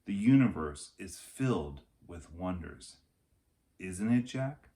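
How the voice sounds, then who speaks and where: A man voicing a gentle, wise enchantress in a storybook read-aloud. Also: sits low, lively intonation, slow pace.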